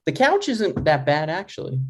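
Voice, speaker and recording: A man's voice: on the high side, big pitch swings, moderate pace, husky. A man reads the part of a grown son in a comedy script. His intonation moves around a lot. He sounds dry, hesitant and put-upon, in a natural conversational way. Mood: reluctant. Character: exasperated, dry, reluctant adult son, struggling writer.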